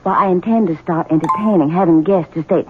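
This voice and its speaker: A woman's voice: lowish voice, fast, lightly acted. A woman playing a scheming, imperious young Southern wife in a radio drama, haughty and cutting, with an American Southern accent. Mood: defiant. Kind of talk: 1970s radio drama acting. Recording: narrow, hissy old radio broadcast.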